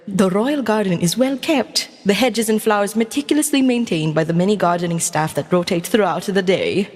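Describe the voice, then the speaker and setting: A woman reading scripted second-person fantasy narration in a measured storybook manner. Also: medium pitch, a little mellow, big-room sound. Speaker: VTuber storyteller.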